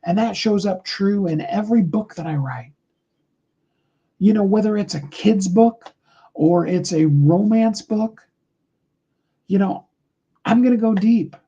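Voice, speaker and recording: High-voiced man with a husky, muffled sound. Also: unhurried, pauses often, warm tone. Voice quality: high tenor voice. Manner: rambling, earnest, with many fillers. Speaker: host of a live motivational call. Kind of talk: casual motivational talk.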